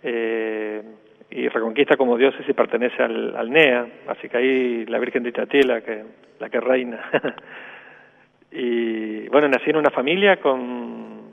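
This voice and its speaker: A man's voice: mid-range voice, fairly even intonation, unhurried, creaky, in a large hall. An Argentine man from northern Santa Fe, a priest, talking by phone in a radio interview, often repeating words and adding "¿no?". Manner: repeats words, many tags of "¿no?". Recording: tinny phone line.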